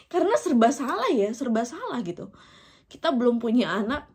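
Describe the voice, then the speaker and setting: A woman's voice: high voice, very animated, unhurried, a little mellow. A woman in her thirties from Indonesia, talking directly to her viewers in an emotional, personal vlog, often breaking into laughter. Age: 30s. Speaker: vlogger.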